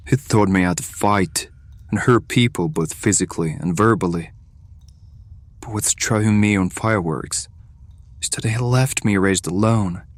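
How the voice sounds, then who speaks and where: A man's voice: sits low, no echo. An actor playing a moody, bitter male hireling in an audio drama, speaking in a curt, sardonic, sullen way.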